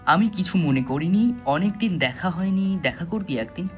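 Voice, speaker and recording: A man's voice: high voice, expressive, moderate pace, faint music behind. A man reading out the messages of an eager, easygoing young man in an audio story, casual and forgiving.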